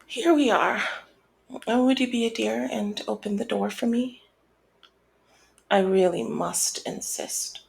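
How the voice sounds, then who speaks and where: Woman acting high, creaky-voiced flirty mob boss. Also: takes it slowly, pauses often, a little mellow, heavily acted. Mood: teasing, sly. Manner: teasing, coy, smoothly in control. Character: flirty, teasing, commanding female mob boss. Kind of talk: ASMR roleplay, scripted one-sided dialogue.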